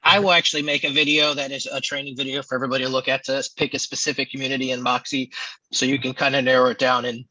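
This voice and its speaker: Bright-voiced man with casual fillers. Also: medium pitch, level tone, fast talker, no echo, rough recording. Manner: casual, lots of ums and fillers. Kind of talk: team meeting talk.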